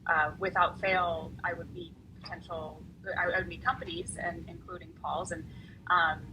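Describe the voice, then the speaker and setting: A woman with a somewhat low voice talks as a lawyer on a panel, chatty and loose, circling back and repeating words as she thinks. A constant hiss of noise sits behind her. Her delivery sounds unscripted and conversational. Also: flat delivery, moderate pace. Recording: noisy, hissy recording.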